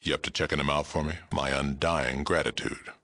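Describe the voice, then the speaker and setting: Very deep, gravelly mutant sheriff. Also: male voice, fairly even intonation, brisk, bright, forceful tone, heavily acted. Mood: businesslike, gruff. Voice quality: gravelly, rumbling. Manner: blunt, terse, dry delivery. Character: gruff, aging super mutant sheriff, world-weary but fair-minded. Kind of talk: video game character dialogue.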